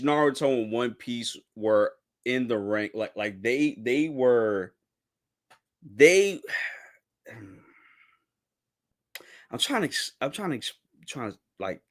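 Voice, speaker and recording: A man's voice: mid-range voice, fairly bright tone. A man in his thirties hosting a casual podcast talk about anime, rambling with restarts and tossing in "bro". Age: 30s. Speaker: podcast host.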